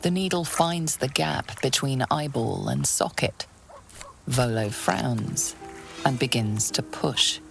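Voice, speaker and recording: Very low, creaky, ominous game narrator. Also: female voice, soft background music, full character voice. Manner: measured, ominous storytelling. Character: ominous, coolly composed female fantasy game narrator. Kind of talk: scripted second-person game narration.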